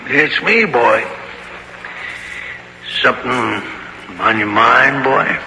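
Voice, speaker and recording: A man playing a wry, folksy old countryman with a rural American accent and deliberate, sardonic delivery in a radio drama. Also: medium pitch, pushed and bright, heavily acted. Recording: old radio recording, reverberant, faint hiss.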